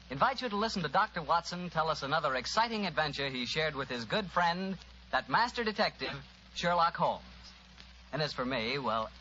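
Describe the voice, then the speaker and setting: Bright, animated American radio announcer man. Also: a little high. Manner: folksy, enthusiastic salesman. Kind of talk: 1940s radio sponsor announcing. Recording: muffled old radio recording, faint noise.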